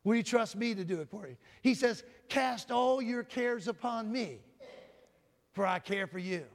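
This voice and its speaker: A man preaching a sermon with a loud voice, often calling out "Amen?" and swinging from booming to soft. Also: unhurried, vocal fry. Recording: long hall reverb.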